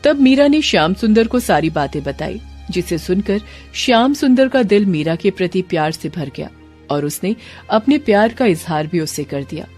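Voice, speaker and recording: A woman's voice: mid-range voice, lively intonation, moderate pace, a little mellow, soft background music. A woman narrator delivering dramatic, scripted storytelling for a cartoon.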